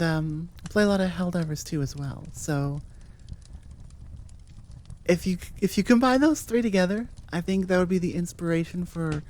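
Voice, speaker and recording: A woman's voice: on the low side, mellow. A woman chatting casually to her listeners as an audio creator, in an informal, rambling and self-amused way. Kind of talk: casual outro chat to listeners.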